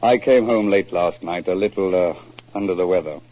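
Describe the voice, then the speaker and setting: An actor playing an earnest, crafty mystery writer with a formal, measured way of telling things, in 1940s radio drama style. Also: male voice, deep voice, close to an everyday voice. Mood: sheepish, amused. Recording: muffled tinny 1940s radio recording.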